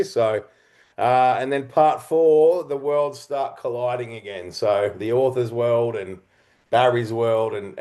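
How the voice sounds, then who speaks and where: Male interview guest, mid-range voice, creative type, thoughtful and expressive, conversational storytelling in broken phrases. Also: mid-range voice.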